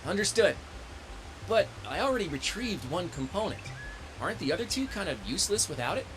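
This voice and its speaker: A youthful robot scout in a game cutscene. The voice is fairly high for a male, casual and wry, with a plucky, dutiful streak. Music plays clearly behind it. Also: very animated, a little fast, poor audio. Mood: determined. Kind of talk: scripted video game cutscene acting.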